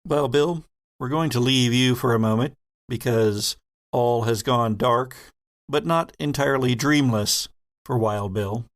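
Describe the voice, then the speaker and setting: A man hosting a tabletop horror game as game master, narrating scenes in a measured, descriptive way. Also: mid-range voice.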